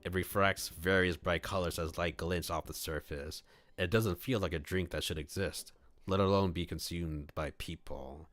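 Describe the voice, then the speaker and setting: A man on a livestream reading a visual novel aloud, mixing scripted narration with casual asides where he thinks out loud. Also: low-pitched, steady tone, normal speed, no echo. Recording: music playing behind the voice. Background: clear background music.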